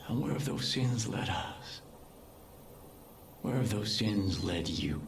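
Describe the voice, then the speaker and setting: A slow, low-voiced doomsday cult preacher. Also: male voice, expressive, creaky, echoey room, slight background noise, exaggerated voice. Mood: grave, accusing. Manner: slow, deliberate, solemn, preaching. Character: fanatical cult leader, soft-spoken menacing doomsday preacher. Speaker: villain in a video game. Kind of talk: dramatic sermon-like game acting.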